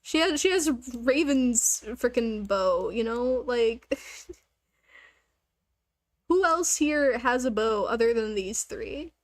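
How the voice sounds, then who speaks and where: A woman's voice: on the high side, lively intonation, slow, dry recording. A woman chatting casually on a gaming livestream, rambling along with the odd swear word.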